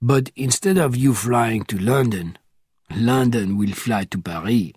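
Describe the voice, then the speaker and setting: A man voicing a huge, commanding crime boss with a formal, deliberate and quietly menacing manner in an audiobook. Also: mid-range voice, husky, no room sound, clean studio sound. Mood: controlled.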